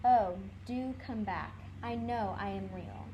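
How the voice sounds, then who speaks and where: A woman's voice: medium pitch, slow. A woman voicing a small, earnest toy rabbit in a storybook, hurt and lonely, speaking plaintively and insistently. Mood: pleading. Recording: clear background noise.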